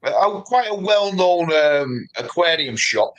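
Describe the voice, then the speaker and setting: A man's voice: a little high, very animated, unhurried, fairly bright tone, dull audio. A man chatting on a livestream in a matey, teasing way. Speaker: co-host. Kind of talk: casual livestream chat.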